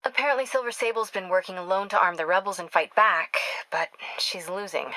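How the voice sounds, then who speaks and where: A woman's voice: mid-range voice, brisk, pushed and bright, dry recording, close to an everyday voice, low-quality recording. An actress playing a driven, caring young woman reporter in a video game, speaking in an earnest, conversational way. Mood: focused. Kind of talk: video game voice acting, natural phone-call dialogue.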